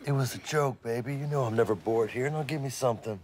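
A man's voice: mid-range voice, fast talker, darkish tone, a little hiss or hum, lightly acted. A man acting in a comedy-drama as a cocky, crude, laid-back playboy who teases. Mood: lazy teasing. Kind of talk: scripted screen acting.

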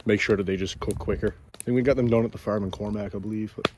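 A man's voice: on the low side, fairly even intonation, quick pace, noisy background. A young man with a Newfoundland accent, chatting enthusiastically to viewers during an outdoor fishing vlog, filmed on a handheld camera outside. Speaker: outdoor vlogger. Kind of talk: casual outdoor vlog chat. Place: outdoors by a river in snow. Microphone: phone or action camera held close.

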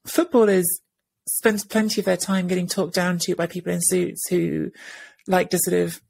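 A woman's voice: lowish voice, little pitch movement, moderate pace, gravelly, a little mellow, breathy edge. A woman in her thirties co-hosting a podcast over a video call, talking thoughtfully with many restarts and fillers. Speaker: podcast co-host. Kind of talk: casual podcast discussion. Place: home room with bookshelves, indoors. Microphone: earphone headset on video call.

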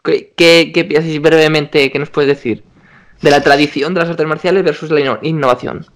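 A young man hosting a video call interview, asking questions briskly and answering with short agreements. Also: a little high, fairly even intonation, a bit bright. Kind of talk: quick-fire interview questions. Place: dim room at home. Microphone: video call webcam.

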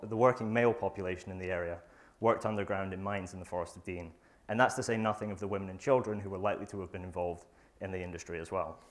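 A young man gives a research talk to an audience in a lecture theatre, speaking into a lapel mic. His voice sits a little low, and he reads from his notes, halting often on fillers and restarting phrases. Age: young adult. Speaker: student presenter. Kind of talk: academic conference talk.

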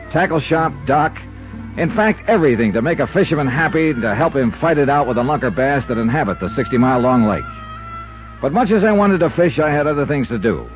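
A man acting as a brisk, wry American investigator from a fifties radio serial. His fairly high male voice swings animatedly through the story. It comes through a muffled old broadcast recording with music. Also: lightly acted. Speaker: radio drama lead and narrator.

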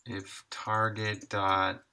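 A man's voice: lowish voice, completely flat delivery, extremely slow, pushed and bright, smooth. A man in his thirties chatting casually and thinking aloud while he codes on a live stream, sometimes muttering to himself. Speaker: game developer live streaming.

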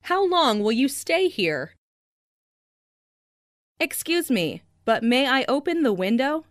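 Female language-lesson narrator, higher-pitched and unhurried, enunciating each practice phrase. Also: sing-song, no room sound, studio quality. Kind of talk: scripted reading of English practice phrases.

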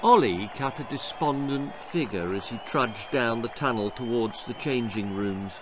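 An English man in his sixties reading a children's story aloud with dramatic, expressive, deliberate narration. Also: medium pitch, crowd noise, background noise. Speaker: author reading own children's stories. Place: small book-lined room indoors.

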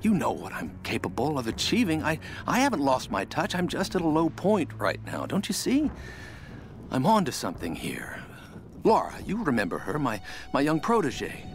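Casting: pompous schemer and fame-hungry expedition man for a game, highly animated, stammering over himself. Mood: pleading, desperate. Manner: halting, self-important, theatrical. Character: vain, scheming, desperate male celebrity explorer. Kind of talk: dramatic game monologue. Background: music.

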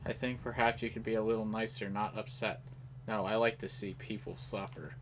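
A man's voice: lowish voice, steady tone, fairly quick, slight background noise. A man giving casual, rambling live game commentary in an offhand way. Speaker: gameplay host.

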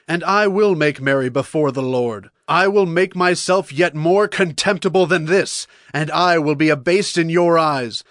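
A man's voice: on the high side, no room sound, studio quality, natural voice. A man playing a devout, earnest king of Israel in a dramatized scripture reading. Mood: defiant. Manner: earnest, declarative.

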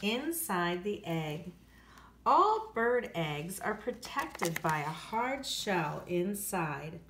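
A woman's voice: lowish voice, sing-song, very slow, a bit bright, a little hiss or hum. A woman, an elementary school teacher, reading a children's nonfiction book aloud with careful phrasing for young listeners. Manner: careful, clearly phrased read-aloud delivery with emphasis for children. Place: indoors at home, hard-surfaced kitchen. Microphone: handheld phone. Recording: echoey room, handheld home recording.

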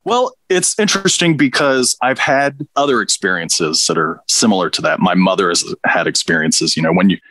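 A man's voice: sits low, expressive, moderate pace, few pauses, bright, forceful tone, a little hiss or hum. A man from Pakistan, a podcast guest, telling stories in a reflective way with dry humor. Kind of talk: relaxed interview conversation.

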